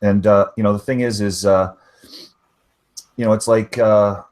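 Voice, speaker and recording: A man's voice: fairly low pitch, fairly even intonation, unhurried, a little mellow. A man in a casual online chat who rambles, filling his talk with "you know" and "right". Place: online voice hangout.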